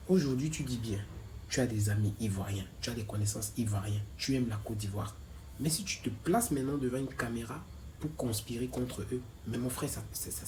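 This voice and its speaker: A man giving his opinion in a measured, lecturing way, full of rhetorical questions. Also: medium pitch, darkish tone, a little hiss or hum. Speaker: commentator replying to a video. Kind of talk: opinion reply video.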